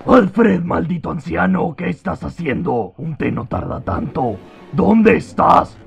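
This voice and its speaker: A man playing an irritable, bossy superhero who barks impatiently in a gravelly voice in an animated fan film. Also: a little high, takes it slowly, muffled recording, soft background music, full character voice. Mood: impatient, angry. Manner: impatient, barking.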